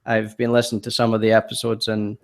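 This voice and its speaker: A man in his thirties from Scotland, his accent softened by years in the US. He is a podcast guest telling his story in casual chat, hesitant and self-deprecating, with plenty of ums and restarts. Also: fairly low pitch, monotone, fast talker, vocal fry. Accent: Scottish, softened by years in the US.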